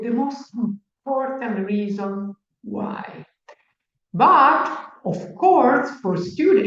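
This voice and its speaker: A woman speaks at a very unhurried pace, pausing often and restarting her sentences. The sound is roomy and a bit muffled, like a livestreamed talk. She answers a question at an open day for a medical school. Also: mid-range voice. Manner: slow and measured, with frequent hesitations and restarts. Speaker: medical school faculty member.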